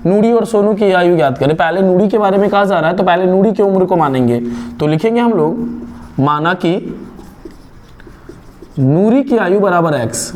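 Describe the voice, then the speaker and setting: Man teaching math, slow and questioning. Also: sits high, lively intonation, a little mellow. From India. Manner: explains slowly, asks and answers his own questions. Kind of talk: step-by-step math lesson.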